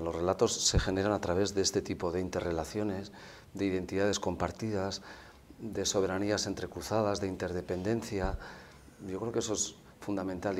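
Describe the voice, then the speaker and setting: Deep-voiced man, measured. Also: a little mellow, a little hiss or hum. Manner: measured, erudite, with tag questions. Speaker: university lecturer. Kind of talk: conversational discussion.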